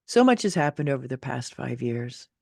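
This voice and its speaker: A woman's voice: rumbling low, sing-song, moderate pace, creaky, darkish tone, no room sound, clean studio sound. A middle-aged woman reading her own personal essay in an emphatic, theatrical, motivational way. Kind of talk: expressive scripted narration of a personal essay.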